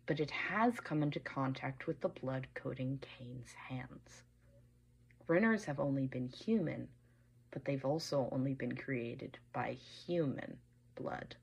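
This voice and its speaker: A woman's voice: low-pitched, slightly rough. A woman presenting an analytical fan theory as a prepared listener message.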